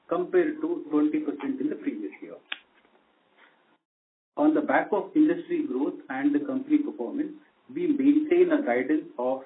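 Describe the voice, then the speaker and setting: A man with an Indian accent formally reading out a scripted corporate earnings presentation as a company executive on a conference call. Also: a little high. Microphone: conference call line. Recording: tinny narrowband conference phone line.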